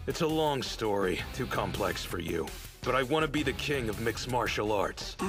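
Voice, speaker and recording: An actor playing a blunt, cocky teenage martial artist in an anime dub, laid-back and casually condescending. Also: male voice, mid-range voice. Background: music.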